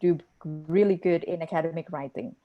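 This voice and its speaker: A woman's voice: on the low side, fairly even intonation, brisk, phone-quality sound. A woman on a discussion panel answering a question carefully, with hesitations. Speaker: panel speaker.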